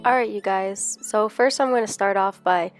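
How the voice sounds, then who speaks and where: A woman's voice: medium pitch, moderate pace, soft background music. Sick, slightly nasal woman narrating a recipe. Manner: relaxed, instructional. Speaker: cooking vlogger. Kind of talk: step-by-step cooking voice-over.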